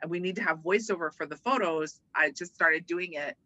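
A woman from Hawaii speaking casually and emphatically as an interview guest, chatty and animated. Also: fairly low pitch, brisk. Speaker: interview guest, organizational development worker and voiceover artist.

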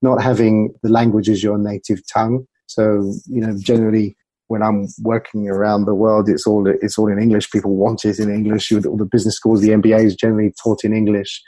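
Man, communication coach, interview guest, fairly flat intonation, very dry close sound. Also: on the low side, fairly quick, no echo, poor audio. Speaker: communication coach and former broadcaster. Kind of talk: interview conversation, explaining with anecdotes.

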